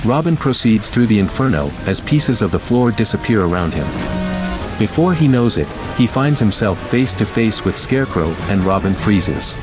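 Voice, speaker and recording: A man's voice: lowish voice, lively intonation, moderate pace. A man narrating a scripted audio drama. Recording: loud music and effects behind.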